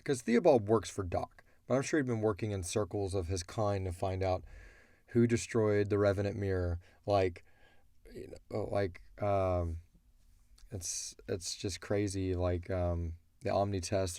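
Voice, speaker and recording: A man with a stuffy, congested voice chatting casually and low-key as a host answering fan questions. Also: steady tone, unhurried, mellow, no echo, clean studio sound. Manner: low-key, unenthusiastic-sounding. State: congested from a cold. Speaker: host of an audio drama Q&A show.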